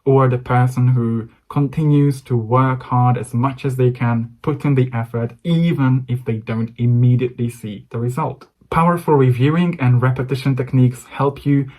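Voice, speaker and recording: A man's voice: mid-range voice, fairly even intonation, moderate pace, few pauses, a little mellow. A young man with a Hungarian accent, a native Hungarian speaker, giving an encouraging to-camera English lesson. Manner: encouraging, direct address to viewer. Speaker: English coach.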